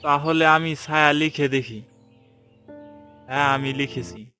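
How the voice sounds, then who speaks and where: A man plays an eager young male adventurer in a cartoon fairy tale, easily amazed and informal. His voice is a bit high, with music behind it. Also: unhurried, pushed and bright.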